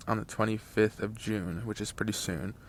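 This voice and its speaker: Gravelly low-voiced young man, rambling. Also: steady tone, moderate pace, a little hiss or hum. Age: 20s. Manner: rambling, with many fillers and restarts. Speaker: channel host telling a story. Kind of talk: casual story time over gameplay.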